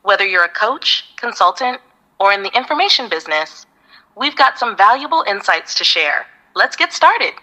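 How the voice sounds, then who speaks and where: A woman's voice: mid-range voice, big pitch swings, normal speed, sharp tone, rough recording. A synthetic woman's voice of an AI assistant, giving upbeat, polished step-by-step advice. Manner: upbeat, polished, evenly paced listing. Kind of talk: scripted advice reading.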